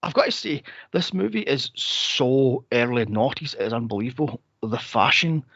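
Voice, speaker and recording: A man's voice: lively intonation, normal speed, gravelly, a bit bright, no room sound. A man co-hosting a film podcast, chatting casually and animatedly and slipping into exaggerated voices when he retells stories. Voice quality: says he has a deep, straight-sounding voice. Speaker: podcast co-host reviewing films. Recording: dry, slightly dull podcast mic.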